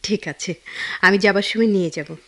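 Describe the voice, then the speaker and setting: An actress with a Bangladeshi accent playing a caring, resolute young woman in a radio drama, gentle and earnest in delivery. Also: medium pitch, normal speed, music in the background, close to an everyday voice. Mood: helpful. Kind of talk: Bengali radio drama acting.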